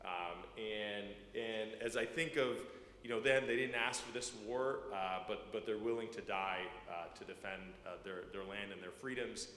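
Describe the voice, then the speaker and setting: A man in his thirties from Ukraine, speaking as a guest to a church congregation, halting with many fillers and repeated words. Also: on the low side, fairly bright tone. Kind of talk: personal testimony to a church congregation. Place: church sanctuary. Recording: echoey church hall, faint room noise.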